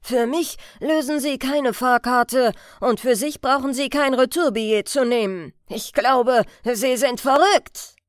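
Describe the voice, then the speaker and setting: Frau spielt einen vorsichtigen, direkten Ganovengehilfen. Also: female voice, high voice, unhurried, hardly any pauses, dry recording, clean studio sound. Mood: firmly refusing. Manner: blunt, objecting. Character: wary, blunt male criminal henchman.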